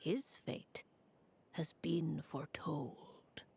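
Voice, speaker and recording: A woman's voice: sits low, drawn-out words, gravelly, mellow, in a whisper, heavily acted. A woman voicing a stern, sorrowful, fatalistic seer witch in a video game, formal and portentous. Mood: ominous, grave.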